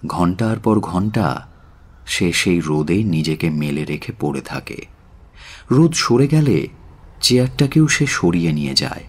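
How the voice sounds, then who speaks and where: A man narrating a literary audio story in a measured, solemn and dramatic way. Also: low-pitched, animated, breathy edge. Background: faint music.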